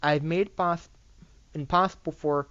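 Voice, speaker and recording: An amateur reader voicing an eager, excitable younger-sister pony princess in a story read aloud. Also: medium pitch, a little slow, no room sound, close to an everyday voice. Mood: earnest, reassuring. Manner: pleading, enthusiastic. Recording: dull lo-fi microphone recording.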